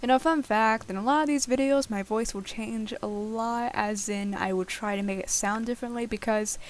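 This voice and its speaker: A woman's voice: a little high, normal speed. A young woman giving casual, rambling, self-deprecating commentary while playing a game, recorded at home. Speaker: gaming video host. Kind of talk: casual Let's Play commentary of a survival game. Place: at home, late at night.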